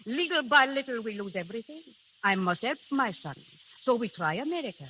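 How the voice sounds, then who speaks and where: Crackly vintage radio, melodramatic European seductress, continental accent, sly pleading, very lively pitch, careful broken English. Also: female voice, brisk. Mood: mournful confiding. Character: sly, melodramatic middle-aged European seductress with a sob story. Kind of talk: 1930s radio acting. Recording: hissy, crackly 1930s radio recording.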